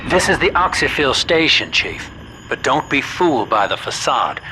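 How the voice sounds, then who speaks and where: Chummy, scheming guide, noisy backdrop. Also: male voice, medium pitch, sing-song, normal speed, pushed and bright. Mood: chummy, directing. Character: folksy, scheming male guide, urgent and familiar. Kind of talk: video game radio guidance acting.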